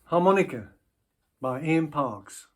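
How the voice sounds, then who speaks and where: Male poetry reader, slightly high voice, very unhurried and deliberate delivery. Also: expressive. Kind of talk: poetry recitation.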